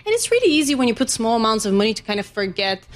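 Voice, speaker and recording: A woman's voice: a little high, sing-song, racing. A woman from Bulgaria, a financial journalist giving a conversational expert explanation in an interview.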